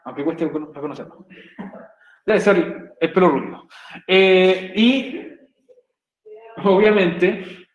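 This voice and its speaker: A man's voice: high-pitched, slow, long pauses, roomy sound, muffled recording. A man from Chile teaching an English class online in Chilean Spanish, chatty and jokey, often checking in with his students. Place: home room on a video call.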